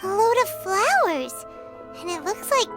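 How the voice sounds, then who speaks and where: A woman voicing a tiny, childlike, excitable female fairy companion in a video game, with a squeaky voice and exclamatory delivery. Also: measured pace, full character voice. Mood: excited. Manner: exclamatory, refers to self in third person. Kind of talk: video game cutscene acting. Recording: loud music behind.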